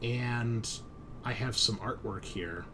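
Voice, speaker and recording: Man, game master, unhurried deliberate delivery, mid-pitched, faint background noise. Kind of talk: improvised game narration and casual chat.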